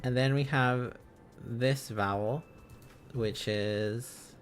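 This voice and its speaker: A man hosting an informal language-learning livestream, thinking aloud in a halting, casual, self-correcting way. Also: medium pitch, fairly even intonation, slight background noise. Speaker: livestream host learning Korean along with viewers.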